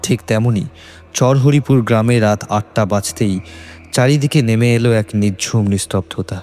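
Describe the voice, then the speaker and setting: A man narrating a scripted horror story in suspenseful, measured phrases. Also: fairly low pitch, a little mellow. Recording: faint music behind.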